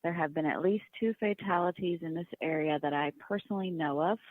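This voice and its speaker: A woman's voice: on the low side, fairly quick, dry recording. A woman staff member reading written public comments aloud at a meeting. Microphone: phone or conference call. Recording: dry, tinny phone-like line.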